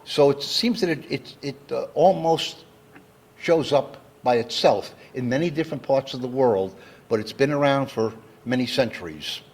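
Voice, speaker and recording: A man with a husky, slightly rough voice gives an explanatory lecture. He speaks at a middle pitch, laying out definitions deliberately and one step at a time, like an engineer teaching a general audience. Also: a little mellow. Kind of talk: educational public lecture, explanatory. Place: talk given live to an audience.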